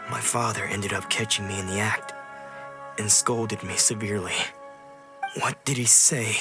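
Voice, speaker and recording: An actor playing a weary, troubled swordsman in a video game, speaking in a brooding, measured, reflective way. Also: medium pitch, natural voice. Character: weary, troubled swordsman who lost his memories. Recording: music behind the voice.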